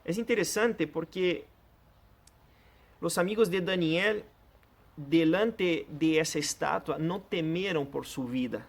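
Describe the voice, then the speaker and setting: A man's voice: a little high, slow. A man whose first language is Portuguese, preaching a sermon in an earnest, direct way and addressing the listener personally. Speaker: pastor.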